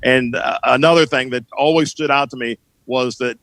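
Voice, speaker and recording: A middle-aged man with a bright, forward voice at an ordinary mid pitch talks casually as a podcast guest. He reminisces in anecdotes, often halting to find the next phrase. The sound is close and dry, with very little room around it. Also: steady tone, dry recording. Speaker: sports broadcaster being interviewed as a guest.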